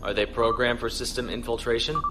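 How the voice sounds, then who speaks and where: An actor playing a calm, commanding starship captain in a measured, authoritative way for an audio drama. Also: male voice, mid-range voice, music in the background, lightly acted. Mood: briskly planning.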